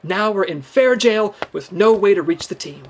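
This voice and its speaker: A man plays an eager but hapless secret agent in a comic audio drama. His voice sits high and moves in a lively way, over faint music. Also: normal speed. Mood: earnest, wry. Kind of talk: comedic audio drama acting.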